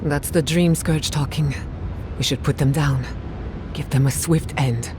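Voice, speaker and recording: An actress playing a blunt, pragmatic adventurer, matter-of-fact and composed, in a video game. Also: female voice, low voice, fairly even intonation, unhurried, background noise. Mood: grim, composed. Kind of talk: video game character acting.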